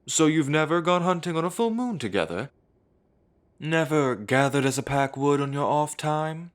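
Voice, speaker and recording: A man's voice: fairly high pitch, expressive, normal speed, lightly acted. An actor playing a proud, hostile male elder of a werewolf council, speaking with cold contempt. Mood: contemptuous. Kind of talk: audio drama acting.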